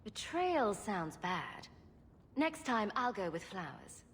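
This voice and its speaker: A woman's voice: medium pitch, very animated, slow, a bit bright, slight background noise. An actress playing a wry, sarcastic female adventurer in a fantasy game, with a dry delivery. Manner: dry, sardonic. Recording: long reverb, clear background ambience.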